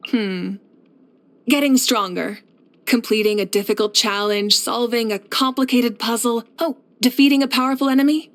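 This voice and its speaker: Video game heroine: actress as a warm, confident young female leader and warrior, higher-pitched, measured and sincere. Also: steady tone, close to an everyday voice. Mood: thoughtful. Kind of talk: scripted video game character voice lines.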